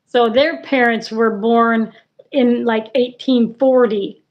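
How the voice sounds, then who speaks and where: Slow-talking woman in her sixties. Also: a little high, fairly even intonation. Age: 60s. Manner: unhurried, rambling. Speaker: guest. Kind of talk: casual livestream chat. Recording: dull, lo-fi call audio.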